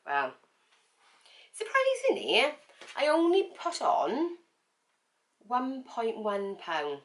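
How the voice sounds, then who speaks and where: A woman talking casually to camera in a vlog, with a croaky voice and a chatty, frank manner full of fillers and repeats. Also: fairly high pitch, wildly swooping pitch, takes it slowly. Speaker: vlogger.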